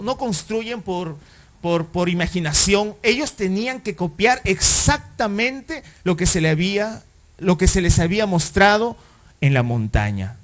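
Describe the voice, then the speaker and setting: A man preaching a sermon as pastor, talking conversationally and often putting rhetorical questions to the congregation, with fillers and self-corrections along the way. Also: sits high.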